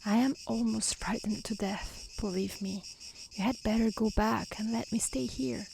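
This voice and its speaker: A woman voicing a frightened, loving older merchant father in a soft, breathy storybook reading. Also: on the high side, fairly even intonation, moderate pace, rough recording. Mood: fearful, tender. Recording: faint background noise with chirping insects.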